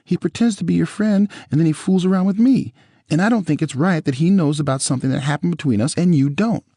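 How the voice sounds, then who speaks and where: A man's voice: a little high, fast talker, warm tone, no echo, clean studio sound, pitched above the performer's own voice. A man reading an audiobook, voicing a tearful, apologetic ex-wife in a raised, teary voice. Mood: anxious. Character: tearful pleading woman, his ex-wife. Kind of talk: audiobook character voice.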